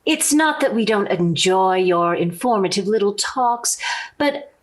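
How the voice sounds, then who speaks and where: A woman plays a domineering, middle-aged matron in vintage radio comedy. She is haughty and precise, with a formal, withering delivery at an ordinary female pitch. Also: expressive, unhurried, close to an everyday voice. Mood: prim, impatient. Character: haughty, disapproving society mother and domineering wife. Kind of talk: 1940s radio sitcom acting.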